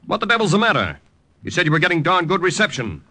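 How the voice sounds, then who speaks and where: An actor playing a composed, proper-spoken American army lieutenant held captive, in old-time radio drama style with narration. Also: male voice, high-pitched, sing-song, quick pace, background noise. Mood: irritated. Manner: precise, proper speech. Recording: old radio recording with music.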